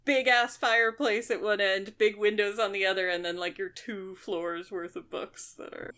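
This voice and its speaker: A woman's voice: mid-range voice, fairly even intonation, moderate pace, a bit bright. A woman co-hosting a podcast in casual chat, rambling on enthusiastically and piling detail onto detail. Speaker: podcast co-host.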